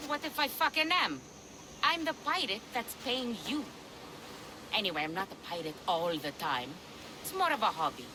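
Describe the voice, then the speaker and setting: A woman's voice: mid-range voice, sing-song, normal speed, background noise, natural voice. An actress playing a blunt, sardonic, foul-mouthed female pirate ship captain in a video game cutscene. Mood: roguish, candid.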